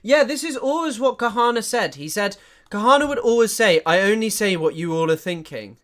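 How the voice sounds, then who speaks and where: A man giving chatty live-stream commentary, animated and full of fillers and restarts. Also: high voice, fairly quick.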